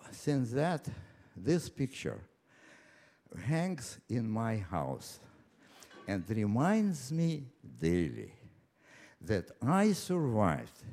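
Very slow, elderly Russian-accented man. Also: medium pitch, a little mellow. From Ukraine, later Moscow. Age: 99, nearly one hundred. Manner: halting, deliberate, with repeated words and pauses. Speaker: personal storyteller. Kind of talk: live storytelling to an audience. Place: live stage before an audience.

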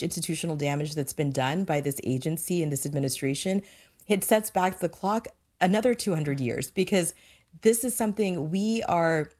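A woman with a low-pitched voice speaks as a guest on a discussion panel. She is earnest and emphatic, giving an impassioned account from her own working life. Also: darkish tone. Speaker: former diplomat, panel guest.

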